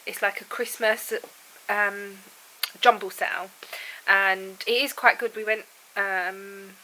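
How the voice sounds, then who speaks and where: A woman's voice: mid-range voice, steady tone, takes it slowly, bright, forceful tone, slight background noise, poor audio. A woman with a cold and a froggy, bunged-up throat, chatting casually and rambling to camera from her home. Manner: rambling and chatty, laughs often. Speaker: vlogger. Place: at home indoors.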